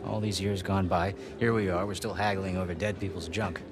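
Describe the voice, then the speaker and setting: A man's voice: low voice, steady tone, brisk, over music, close to an everyday voice. An actor voicing a smug, charming wealthy businessman in a video game. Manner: smug, laughing. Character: rich male businessman rival, smug and charming. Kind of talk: video game cutscene acting.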